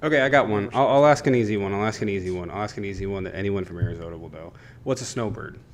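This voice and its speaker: A man co-hosting a livestream debate panel, talking casually and politically over an online call. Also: rapid-fire. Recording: faint low rumbling background noise.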